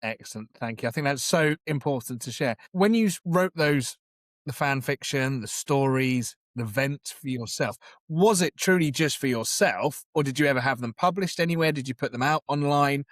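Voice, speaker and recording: A man's voice: medium pitch, normal speed, no room sound. A middle-aged man hosting an interview, asking curious questions and giving encouraging replies. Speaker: podcast host. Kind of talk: interview host.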